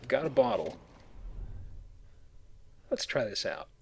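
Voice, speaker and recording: A man's voice: mid-range voice, sing-song, takes it slowly, vocal fry, husky. A man giving casual, explanatory gameplay commentary as he plays a video game. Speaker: let's player playing and explaining the game.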